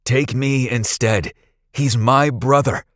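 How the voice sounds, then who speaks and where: A man voicing a frightened but brave, protective child in a storybook tale, pleading loudly and urgently. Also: medium pitch, fairly even intonation, slow pace, dry recording, clean studio sound, voice pushed up, natural voice.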